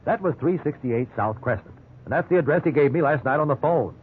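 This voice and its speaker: A man's voice: fairly high pitch, expressive, a little fast, narrow, tinny audio, lightly acted. An actor playing a respectable, wrongly accused businessman telling his story, earnest and conversational, in 1950s radio drama style. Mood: indignant, pleading. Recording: thin band-limited 1950s radio recording, hiss.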